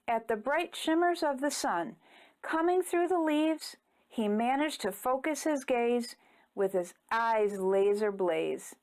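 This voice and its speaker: A woman reading a rhyming children's story aloud in an expressive, deliberate, theatrical way. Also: high voice. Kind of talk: rhyming picture-book read-aloud.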